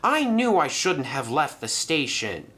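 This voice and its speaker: Madge, a man putting on a brisk female truck. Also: a little high, very animated, sharp tone, pitched above the performer's own voice, heavily acted. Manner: matter-of-fact, a little skeptical.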